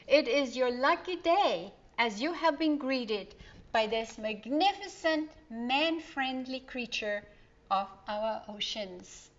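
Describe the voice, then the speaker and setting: A cheerful seafaring uncle, as a woman reads him aloud in a storybook, hearty and fond as he shows the children around. His lines come slowly, with a lively lilt. The sound is dull and lo-fi. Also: high-pitched. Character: jolly seafaring uncle, a fisherman. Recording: dull lo-fi recording.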